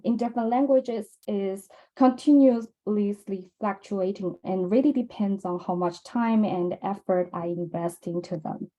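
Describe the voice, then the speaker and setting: A young woman from mainland China with a Chinese accent, giving a measured academic conference presentation with frequent ums and uhs. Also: mid-range voice, mellow, poor audio. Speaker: presenter at a research symposium.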